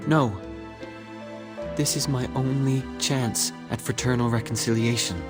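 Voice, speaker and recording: A man's voice: mid-range voice, fairly even intonation, measured pace, close to an everyday voice. Man playing a brooding, resolute brother, music behind. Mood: earnest, wistful. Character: brooding estranged younger brother, resolute and melancholy. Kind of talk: dramatic video game voice acting.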